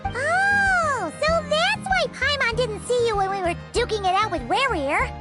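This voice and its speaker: A woman voicing a tiny, excitable, childlike fairy companion with a squeaky, earnest voice in a video game. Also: a little slow. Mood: sympathetic. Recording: loud game music behind.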